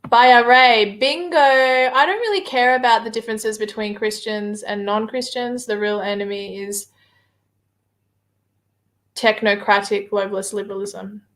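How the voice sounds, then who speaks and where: A woman's voice: mid-range voice, fairly even intonation, unhurried, a bit bright. A woman with an Australian accent talks casually on a livestream, hesitating often with filler words as she answers viewer comments. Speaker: livestream guest reading viewer chat.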